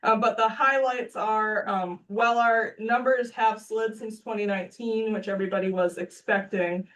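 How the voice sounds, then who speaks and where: A woman's voice: a little high, fairly even intonation, moderate pace, a bit bright, distant mic, poor audio. A woman giving upbeat, earnest opening remarks as executive director at a breakfast panel, with some ums. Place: meeting room.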